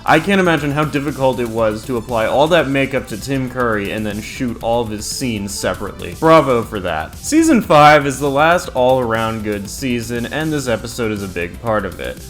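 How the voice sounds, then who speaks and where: Male host, irreverent and animated, scripted review read with loose, crude asides. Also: medium pitch, moderate pace, few pauses, faint music behind. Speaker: host of an entertainment ranking video.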